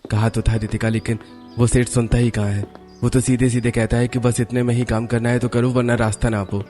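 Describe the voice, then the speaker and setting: A man's voice: medium pitch, flat delivery, brisk, dark tone, soft background music, close to an everyday voice. A man voicing a caring, dutiful young son and husband in a cartoon story, earnest and reassuring. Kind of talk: Hindi cartoon story voice acting.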